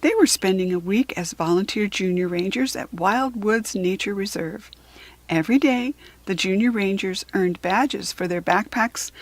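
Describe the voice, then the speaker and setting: An American woman reading a children's book aloud in an expressive, instructive storytelling style. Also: mid-range voice.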